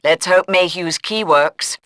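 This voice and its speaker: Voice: medium pitch, slow, noisy background, lightly acted. An actor voicing a stern British intelligence chief in a video game, giving mission orders in a formal, clipped, authoritative manner. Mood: brisk briefing. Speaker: mission briefer. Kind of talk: scripted video game voice acting.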